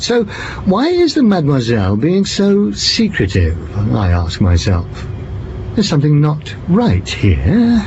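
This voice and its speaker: An actor playing a shrewd, theatrical French police commissioner in an audio drama, pompous and teasing as he deliberates. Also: medium pitch, darkish tone. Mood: sly, probing. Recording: muffled, with background noise.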